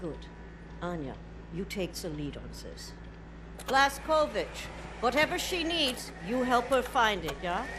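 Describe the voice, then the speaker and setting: An actress playing a defiant female resistance commander, deliberate and authoritative, in a dramatic video game style. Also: on the high side, very animated, noisy background. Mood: brisk command. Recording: loud music over the voice.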